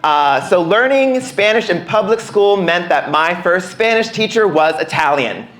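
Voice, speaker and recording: A man's voice: high voice, lively intonation, a little slow, crowd noise. A young American man performing a playful, self-deprecating stand-up routine in a conversational way on a club stage. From United States. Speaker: stand-up comedian. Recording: roomy live club sound.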